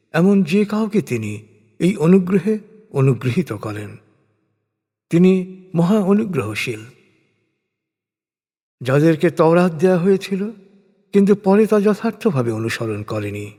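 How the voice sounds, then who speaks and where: Higher-pitched man reciting in long reverb. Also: a little mellow, slightly rough. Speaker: reciter of scripture translation. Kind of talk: solemn scripted scripture narration. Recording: long reverberant hall-like echo.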